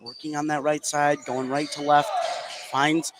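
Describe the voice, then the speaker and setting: Higher-voiced man calling basketball over gym noise. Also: little pitch movement, measured pace. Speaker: play-by-play sports commentator. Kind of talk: live basketball radio commentary.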